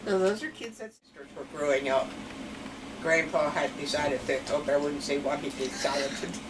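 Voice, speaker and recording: A woman's voice: deep voice, moderate pace. Grandma in her 70s, pennsylvania dutch accent, rambly chatty storyteller, mic far off with noise behind. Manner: chatty, rambling, laughs between stories. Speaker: grandmother being interviewed. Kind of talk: casual family storytelling. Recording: distant mic with clear background noise.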